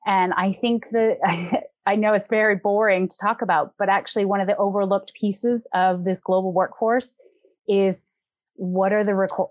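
A woman speaking as a podcast guest, a consultant who talks through her ideas in a reflective, measured way. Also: fairly low pitch, no echo. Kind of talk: casual conversational discussion.

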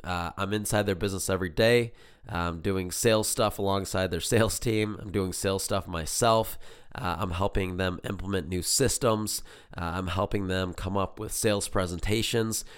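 Hoarse deep-voiced man hosting a podcast. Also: steady tone, normal speed. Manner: rambling, filler-heavy, earnest. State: hoarse, losing voice from illness. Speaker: podcast host and business coach. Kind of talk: casual solo podcast talk.

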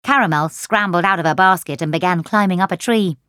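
Children's audiobook narrator: woman, fairly low register, expressive, close and dry studio sound. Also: fairly quick, no room sound. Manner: expressive storytelling. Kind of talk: scripted children's story narration. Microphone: close studio microphone.

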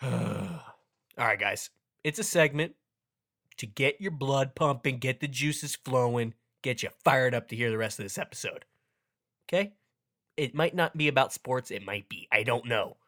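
A man's voice: mid-range voice, unhurried, sharp tone. A young man hosting a casual sports podcast with upbeat, joking delivery, his voice a bit congested and cracking from allergies. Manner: upbeat, joking, playfully hammy intros. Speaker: podcast host.

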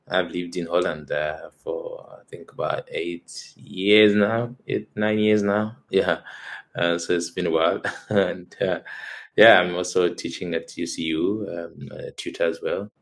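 A man from Zambia in his thirties, a college tutor, answering interview questions in a relaxed, hesitant way with many fillers and soft laughs. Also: low voice, a little slow, gravelly.

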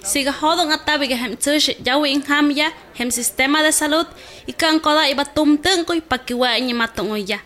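A woman's voice: high-pitched, normal speed, fairly bright tone. A woman playing a doctor who explains things to a new mother in a health radio drama. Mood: reassuring, instructive.

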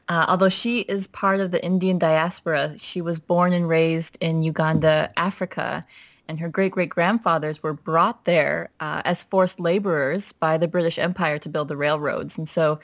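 A woman from Minnesota speaks as a podcast guest. Her voice sits a little low, and her sound is close and dry. She talks in a reflective, steady way and fills pauses with "you know.". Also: no echo. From Minnesota, United States. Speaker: pastor's wife and guest. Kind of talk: podcast interview, personal story.

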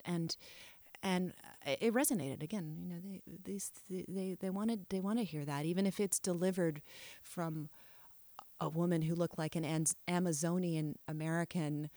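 A middle-aged woman with a low voice looks back on her past in an interview. She is frank and reflective, often trailing off and restarting her sentences. The recording is close and dry. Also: a little slow, mellow, dry recording. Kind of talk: candid personal storytelling.

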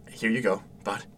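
Man playing gentle hesitant dad, slightly distant. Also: a little hiss or hum. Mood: encouraging. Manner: hesitant, soft-spoken, stammering when worked up. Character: gentle, eager, protective father of a withdrawn young boy. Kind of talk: naturalistic audio drama acting.

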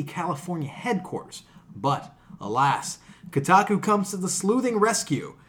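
Irreverent man with animated, swooping delivery. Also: on the high side. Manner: irreverent, casually profane. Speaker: podcast co-host. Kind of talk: casual gaming podcast chat.

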